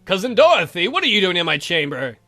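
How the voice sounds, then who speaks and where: An exasperated, sardonic vampire count from a horror game, acted out by a man. The voice is high for a male, bright and full of swings, with music underneath. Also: sing-song, quick pace, no echo, exaggerated voice. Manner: exasperated, sardonic, foul-mouthed. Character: irritable, sardonic male vampire count, protective head of family.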